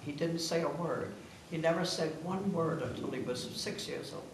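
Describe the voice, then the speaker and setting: Woman, 90s, lively casual storyteller with a low-set voice, recorded from far off with noticeable noise. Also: moderate pace. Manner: animated, anecdotal, given to laughing. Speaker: guest of honour sharing family memories. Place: echoing room at a family gathering. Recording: very echoey room, distant mic, noisy.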